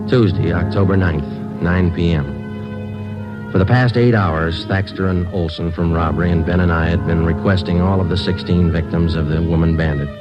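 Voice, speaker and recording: An actor playing a police detective who narrates a 1950s radio crime drama in a clipped, flat, deadpan manner. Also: male voice, deep voice, close to an everyday voice. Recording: dull lo-fi old radio broadcast.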